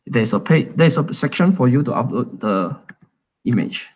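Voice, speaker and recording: A young man from Malaysia giving a casual, halting talk at a tech meetup, with many fillers and restarts. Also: mid-range voice, lively intonation, darkish tone, husky. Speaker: conference speaker.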